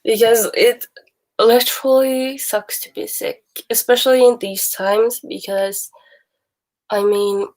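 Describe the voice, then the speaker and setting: Slow-talking young Swedish-accented woman streaming. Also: on the high side, fairly even intonation, a little mellow. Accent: Swedish with mixed Texan, Australian and British bits. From Sweden. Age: young adult. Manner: chatty, rambling, laughs often. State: sick. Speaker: livestream host. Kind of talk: casual livestream chat with viewers.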